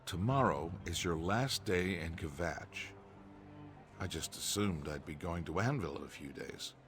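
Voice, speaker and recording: A man's voice: low voice, unhurried. An AI-voiced man playing an earnest, nervous merchant in game dialogue, with faint music behind. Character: anxious male merchant clerk, earnest, proper.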